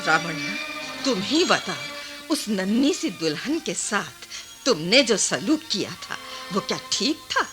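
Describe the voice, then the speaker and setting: A woman's voice: medium pitch, very animated, unhurried, over music. A woman playing a compassionate, chiding old village grandmother in a Hindi radio drama. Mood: reproachful. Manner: emphatic, repeating, chiding.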